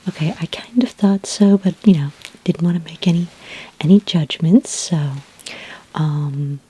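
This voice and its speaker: A woman plays a reassuring female skincare consultant in a sci-fi ASMR roleplay. Her voice is low, dark and breathy, often slipping toward a whisper. She speaks gently and politely, with small hesitations, as if patiently tending to a customer. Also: a little slow, poor audio. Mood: gentle, inquisitive. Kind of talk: soft-spoken ASMR roleplay.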